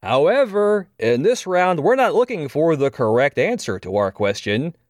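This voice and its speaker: An actor playing a slick, wry television game show host, delivering polished hosting patter. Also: male voice, a little high, big pitch swings, moderate pace, a little mellow, dry recording. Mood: slick, teasing. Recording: noisy studio crowd behind.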